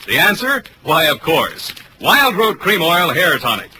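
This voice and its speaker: A man's voice: a little high, big pitch swings, a little slow, pushed and bright, a little hiss or hum. A man announcing in 1940s radio commercial style, with a polished, emphatic salesman's delivery. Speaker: radio announcer and sponsor pitchman. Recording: vintage radio broadcast recording.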